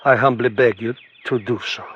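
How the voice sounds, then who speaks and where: An actor playing a humble, haunted refugee scientist who speaks formally and earnestly in an old radio drama. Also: male voice, medium pitch, fairly even intonation, normal speed, a bit bright, slightly rough, muffled recording. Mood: penitent, humble. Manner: formal, deferential, careful phrasing. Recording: vintage radio recording, faint noise.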